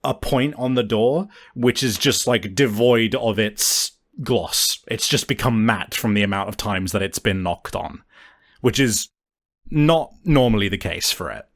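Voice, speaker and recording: A man's voice: lowish voice, unhurried. A man acts as game master for a tabletop roleplaying game. He improvises his narration casually and swears freely as he describes scenes to his player.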